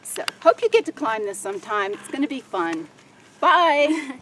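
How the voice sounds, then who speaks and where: A woman with a lively, animated voice hosts a friendly, playful hiking vlog. She speaks from behind the camera, a little off the mic. A faint outdoor hush sits behind her. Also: high voice, normal speed, far from the mic, slight background noise, rough recording. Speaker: vlogger interviewing hikers. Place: mountain trail outdoors.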